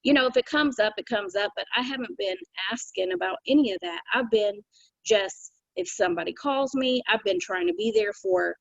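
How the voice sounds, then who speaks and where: A woman's voice: mid-range voice, moderate pace, gravelly, dry recording. A woman speaking earnestly on a video call, thinking aloud with frequent restarts and "you know.". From United States. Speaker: community call participant. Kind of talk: casual reflective talk on a video call. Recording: dry, dull video call audio.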